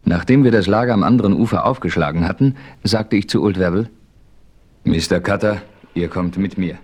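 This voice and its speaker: A man's voice: low-pitched, fairly quick, a little mellow. An actor playing a resolute, commanding Western adventurer who narrates his story in a 1970s radio play. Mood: measured storytelling. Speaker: narrator and lead character. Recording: faintly noisy old radio play recording.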